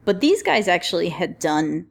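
A woman with a slightly low voice hosts a relaxed roundtable discussion. She talks informally, with slang and a joking streak, as if among friends. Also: moderate pace, vocal fry, slight background noise. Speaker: podcast roundtable host. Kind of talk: casual roundtable chat.

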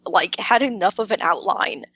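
A woman's voice: medium pitch, normal speed, bright, forceful tone, husky, dry recording, muffled recording. A woman chatting casually as a podcast panelist, explaining things informally and often interrupting herself. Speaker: podcast roundtable panelist, visual novel maker. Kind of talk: casual chat.

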